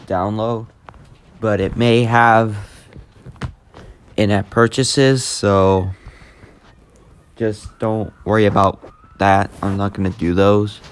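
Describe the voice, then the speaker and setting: A man giving a casual, unscripted app tutorial, speaking plainly and haltingly as he hosts the demonstration. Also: fairly low pitch, smooth. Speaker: YouTube host demonstrating an app.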